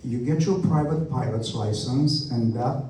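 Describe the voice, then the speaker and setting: A man's voice: medium pitch, measured pace, dark tone. A man with a Romanian accent, an airport representative answering questions at a community meeting in a friendly, talkative, self-deprecating way. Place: church hall meeting room. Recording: echoey hall, speaker far from mic.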